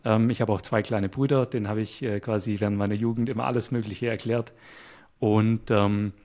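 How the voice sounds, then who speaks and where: A man as an interview guest, calmly explaining his work with a few fillers. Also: on the low side, fairly quick. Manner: calm, explanatory, some fillers. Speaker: guest, web developer. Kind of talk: casual interview chat.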